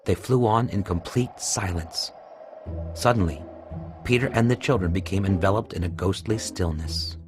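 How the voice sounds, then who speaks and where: A man narrating a scripted children's story as a storyteller, in a measured, clearly enunciated way. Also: sits low. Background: music.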